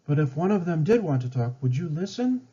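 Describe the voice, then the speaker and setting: A man's voice: fairly high pitch, sing-song, fairly quick, warm tone, breathy edge, muffled recording, voice pushed up. A man voicing an earnest young woman, a chief's daughter in a storybook, heartfelt and pleading. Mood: persuading.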